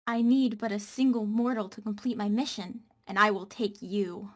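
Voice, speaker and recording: A woman's voice: mid-range voice, fairly even intonation, normal speed, natural voice. A woman voicing a devoted yet commanding guardian angel in a dramatic script reading. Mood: solemn.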